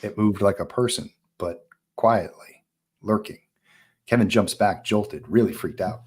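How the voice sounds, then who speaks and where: A man's voice: on the low side, measured pace, darkish tone, husky. A man narrating a screenplay at a live table read, reading terse scene directions in short phrases.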